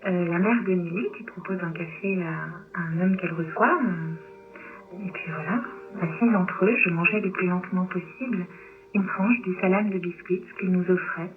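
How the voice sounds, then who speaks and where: A woman from Romania, native in Romanian, talking reflectively and hesitantly as a guest in an interview. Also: normal speed. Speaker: novelist, radio guest. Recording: tinny low-bitrate shortwave radio, clear noise.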